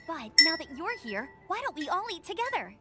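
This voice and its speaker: An actress voicing a cheerful, energetic young female scout who talks in an upbeat, easygoing way, in video game dialogue. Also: very high-pitched, brisk, over music.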